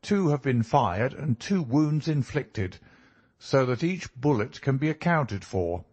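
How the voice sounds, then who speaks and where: A man's voice: medium pitch, animated, slow pace, darkish tone, voice pushed up. A man voicing an earnest, obliging country doctor in an audiobook, speaking precisely and emphatically. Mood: matter-of-fact. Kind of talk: audiobook character dialogue.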